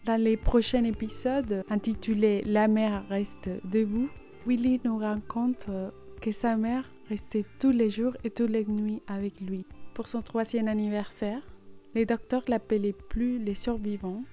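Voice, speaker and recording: A woman's voice: fairly high pitch, fairly even intonation, unhurried, dark tone. A woman presenting a radio programme, reading a scripted episode preview. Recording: faint music under the voice.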